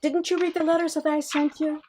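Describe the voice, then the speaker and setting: High female voice, headstrong professor nearing thirty, acted by a woman, dry quick-witted retorts, radio comedy play. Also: flat delivery, darkish tone, close to an everyday voice. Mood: exasperated, wry.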